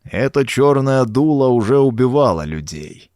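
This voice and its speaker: A man's voice: medium pitch, sing-song, normal speed, darkish tone, smooth, no echo, studio quality, lightly acted. A man voicing a loyal old Mexican ranch servant, grimly courteous, in an audiobook. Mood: grim assurance. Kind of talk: audiobook character voice.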